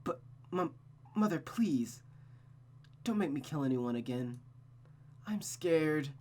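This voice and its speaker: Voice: mid-range voice, expressive, slow, darkish tone. A performer voicing a frightened young daughter who stammers and pleads in a panicked way in a visual novel. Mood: pleading. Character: frightened, pleading young daughter under her mother's sway.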